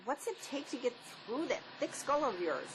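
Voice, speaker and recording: A woman's voice: sits high, sing-song, normal speed. An actress playing a wounded, despairing woman drifter in a drama, emotional, by turns pleading and defiant. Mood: anguished, defiant. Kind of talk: dramatic script performance. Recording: faint background noise.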